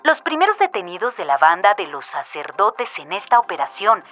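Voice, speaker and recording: A woman's voice: mid-range voice, very animated, moderate pace, a bit bright. A woman playing a radio news announcer, reading a formal, emphatic bulletin in an audio drama. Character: female radio news announcer reporting a military conspiracy. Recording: band-limited radio sound with music behind.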